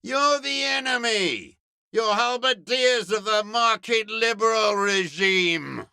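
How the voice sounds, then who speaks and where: An actor playing a bitter, dying old communist deserter in a video game, raspy and contemptuous, given to cursing and ideological scorn. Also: male voice, high-pitched, slow pace, sharp tone, no echo, studio quality, heavily acted. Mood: furious. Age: older. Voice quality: gravelly, raspy. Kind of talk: dramatic video game voice acting.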